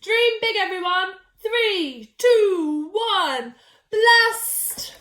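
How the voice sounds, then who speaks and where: A woman voicing an eager, big-dreaming female hippo in a children's storybook, excited and polite. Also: very high voice, drawn-out words, reverberant, voice pushed up, heavily acted.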